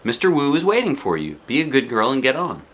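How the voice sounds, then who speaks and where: A man's voice: mid-range voice, huge pitch swings, quick pace, a bit bright, pitched above the performer's own voice. A man voicing a coaxing, reassuring mother of a kindergartner in a children's storybook.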